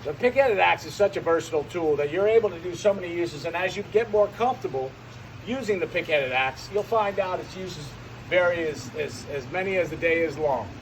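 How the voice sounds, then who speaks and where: A man's voice: fairly high pitch, expressive, a little fast, slight background noise, poor audio. A man giving an unscripted, hands-on demonstration as a firefighting instructor, often repeating and restarting his phrases as he explains.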